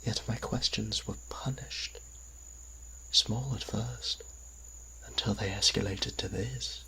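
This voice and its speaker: A man playing an anguished, devout, centuries-old vampire priest in an audio drama, speaking in a breathy, halting, pleading way. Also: on the low side, fairly even intonation, bright, forceful tone, a little hiss or hum, full character voice, low-quality recording. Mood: bitter, sorrowful. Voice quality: breathy, husky, often near whisper. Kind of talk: dramatic audio roleplay acting.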